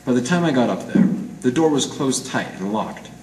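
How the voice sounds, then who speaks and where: An amateur actor playing a hard-boiled 1940s private eye in a radio noir, clipped, deadpan and slangy. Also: male voice, medium pitch, moderate pace, natural voice. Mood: grim narrating. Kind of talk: 1940s radio noir acting with first-person narration. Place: live presentation in a roomy gallery. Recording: echoey room, distant mic, faint noise.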